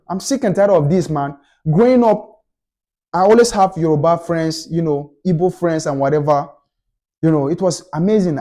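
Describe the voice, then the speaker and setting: A man's voice: on the high side, dark tone. A young Nigerian man with a Nigerian accent, delivering a passionate opinion rant to camera and repeating his points for emphasis. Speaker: commentator.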